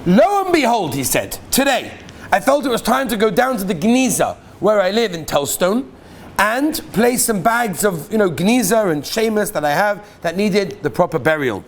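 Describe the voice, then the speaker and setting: A man, a rabbi, reading listeners' stories aloud to his class in an animated storytelling way, sometimes asking the audience questions. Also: high voice, normal speed, slight background noise.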